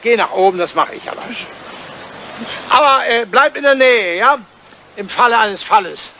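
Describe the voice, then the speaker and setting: An older man playing a doting, playful and impatient elderly English lord in a German radio play, halting between coaxing and bluster. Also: very high-pitched, animated, bright, forceful tone, full character voice. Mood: fussy, impatient. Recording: noisy vintage radio play recording.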